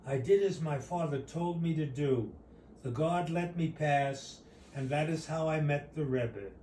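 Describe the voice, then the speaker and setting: A man reading his own short story aloud as its author, in a measured, deliberate storytelling manner. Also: on the high side, warm tone. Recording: roomy, distant mic, faint noise.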